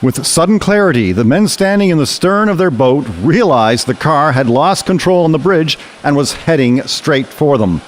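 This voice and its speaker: A man's voice: a little high, lively intonation, normal speed, hardly any pauses. A man narrating a scripted radio drama as storyteller.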